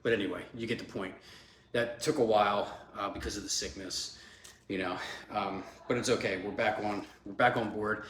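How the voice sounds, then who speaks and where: A man's voice: lowish voice, fairly even intonation, moderate pace, a bit bright. A man in his forties, a fitness vlogger, talking in a loose, chatty way with many fillers, congested from a cold. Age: 40s. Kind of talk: casual rambling vlog talk. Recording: echoey room, faint background hum.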